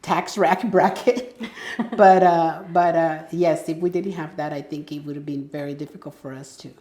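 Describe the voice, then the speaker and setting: A middle-aged woman from El Salvador speaks in a low, warm voice, chatting as an interview guest. She talks in a loose, conversational way, full of "you know"s and restarts. The room around her is noticeably echoey. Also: fairly even intonation, moderate pace. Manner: conversational, frequent fillers and restarts. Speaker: guest entrepreneur. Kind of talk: casual interview talk.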